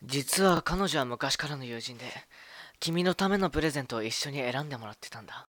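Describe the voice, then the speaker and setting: A man acting a mild-mannered, smoothly deceitful young husband in an amateur radio drama, talking in an apologetic, domestic way. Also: medium pitch, expressive, fairly quick, fairly bright tone, no echo, natural voice. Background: music.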